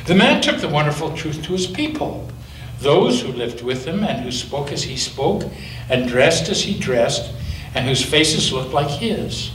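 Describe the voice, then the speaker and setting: A male pastor reads a children's story aloud with a lively, lilting voice that rises and falls. He sits a little back from the microphone, so his voice sounds distant. The church around him adds a strong echo. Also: a little high. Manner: gentle, lively storytelling with slow dramatic pauses. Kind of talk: reading a picture book aloud to children. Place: church sanctuary. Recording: echoey church from a distance, faint noise.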